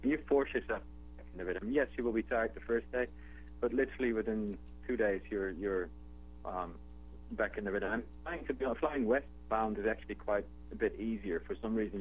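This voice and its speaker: A man from the Netherlands with a Dutch accent, speaking as a guest in a radio interview in a relaxed, conversational way with fillers and restarts. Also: fairly low pitch, normal speed. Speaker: guest, travel company executive. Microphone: telephone. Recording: tinny phone line with faint hum.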